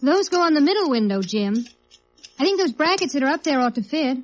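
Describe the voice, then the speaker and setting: Casting: teasing newlywed wife, American, higher register, 1950s radio acting, dull vintage audio. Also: female voice, animated, fairly quick. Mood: light, teasing. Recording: muffled old radio recording.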